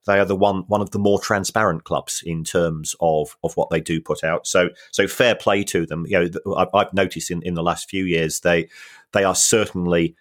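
A man co-hosting a football finance podcast, explaining things in a halting way with many restarts and dry humour. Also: deep voice, fairly even intonation, fairly bright tone. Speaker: football finance expert co-host. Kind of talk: casual podcast discussion.

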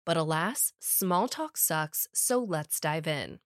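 A woman's voice: low-pitched, lively intonation, takes it slowly, no room sound, clean studio sound. A woman podcast host with a summer cold, reading a scripted true crime story in a wry, conversational way.

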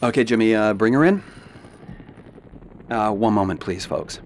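An actor playing a flustered, apologetic radio show host in a theatrical live radio drama, prone to stammering and hesitation. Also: male voice, on the low side, lively intonation, normal speed, slight background noise.

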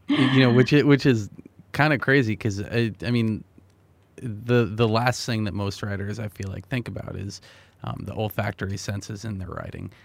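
A man's voice: lowish voice, gravelly, no echo. An American man interviewing in a conversational way, with hesitant, roundabout phrasing. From New Hampshire, USA. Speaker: podcast interviewer.

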